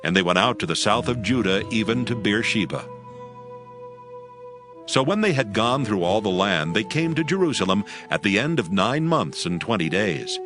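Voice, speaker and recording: A man narrating a dramatized scripture as scripted audio, with solemn, measured storytelling in archaic phrasing. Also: fairly low pitch, big pitch swings. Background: music.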